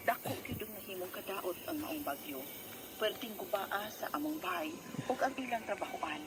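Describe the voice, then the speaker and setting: A woman's voice: medium pitch, sing-song, moderate pace, noisy background. A woman acting a worried wife who recounts her family's troubles in a radio drama, with a Cebuano Filipino accent. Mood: troubled, recounting.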